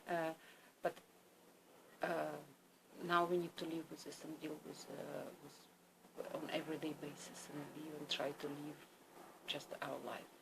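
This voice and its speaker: Ukrainian woman, Russian native speaker, very gravelly and subdued, halting diary talk, noisy home recording. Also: sits low, little pitch movement. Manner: halting, hesitant, slow and searching for words. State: subdued and weary. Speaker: vlogger. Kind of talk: personal video diary.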